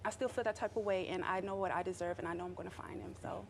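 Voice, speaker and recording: A woman's voice: lowish voice, steady tone, racing, gravelly, slight background noise. A woman in her forties being interviewed on TV, candid and a little hesitant. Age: 40s. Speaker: reality show guest. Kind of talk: TV interview answers.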